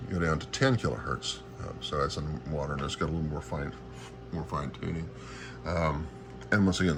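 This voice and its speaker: A man with a strikingly deep voice walks through equipment informally, like a hobbyist showing his gear. He often stops to search for the right word. Clear background noise runs through the amateur recording. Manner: halting, searching for words. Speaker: radio hobbyist presenter.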